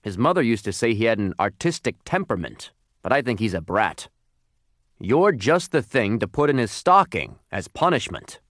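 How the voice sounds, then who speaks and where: A man's voice: lowish voice, very animated, moderate pace, a bit bright, dry recording, clean studio sound. A man voicing a weary, blunt store employee dressed as Santa in a storybook. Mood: exasperated. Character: weary, blunt overweight drugstore Santa impersonator, male.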